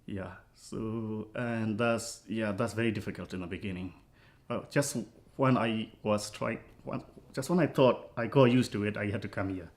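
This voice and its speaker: A man from Myanmar, a poet giving thoughtful, halting interview answers with many pauses and restarts. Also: on the low side, fairly even intonation. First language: Burmese.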